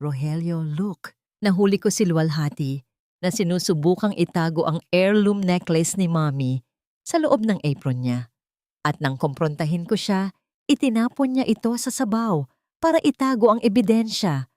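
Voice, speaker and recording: A woman's voice: lowish voice, animated, moderate pace, a little mellow, no room sound, natural voice. A scheming, wealthy villainess of audio melodrama, put on by a woman narrator. She plays the wounded victim while talking down to others in mixed Tagalog and English. The recording is dry and close. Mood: feigned shock. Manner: feigned hurt, condescending, Taglish. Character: scheming, two-faced rich woman villain playing victim.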